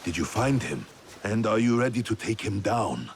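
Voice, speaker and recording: A very deep, stern feudal lord. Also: male voice, sing-song, gravelly, over loud music. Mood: grave, commanding. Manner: formal, grave, deliberate. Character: stern, dignified male feudal Japanese lord. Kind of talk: dramatic video game cutscene acting.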